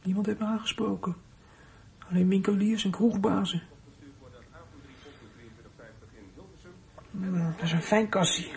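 A man's voice: high voice, creaky. Casting: runaway teenage boy, lonely and defiant, muttered inner monologue, radio play with music. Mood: tired, lonely. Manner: muttering to himself, bursts of indignation.

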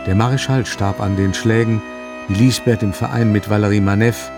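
A male narrator tells a literary story for a radio play. His voice is low and his phrasing measured, with clear instrumental music playing behind him. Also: steady tone. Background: guitar-like instrumental music.

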